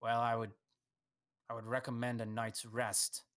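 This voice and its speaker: A man voicing an old male mouse elder who speaks with measured, courteous caution. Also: mid-range voice, completely flat delivery, fairly bright tone, natural voice. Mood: solemn. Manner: courteous, measured, slightly weary.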